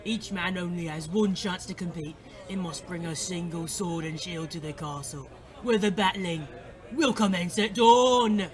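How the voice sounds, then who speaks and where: Medieval town crier, very high pitch, loud official proclamations in a declamatory style, comic fantasy audio drama. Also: male voice, measured pace, a crowd in the background. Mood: officious. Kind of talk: comedic audio drama acting.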